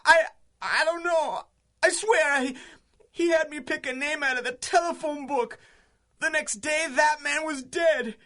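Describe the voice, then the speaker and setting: Cornered radio-play hoodlum. High-pitched, strained, forceful; defiant bluster over craven nerves. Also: male voice, unhurried, exaggerated voice. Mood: cowed, confessing. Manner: blustering, pleading, stammering. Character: cornered male small-time crook, defiant, panicky, craven. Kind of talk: 1930s-style radio drama acting.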